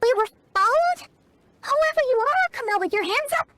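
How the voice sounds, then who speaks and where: A woman voicing a tiny, excitable, childlike fairy companion in a video game, with a squeaky voice and naive, exclamatory manner. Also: moderate pace, noisy background. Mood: startled. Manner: exclamatory, naive, refers to self in third person. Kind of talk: animated video game voice acting.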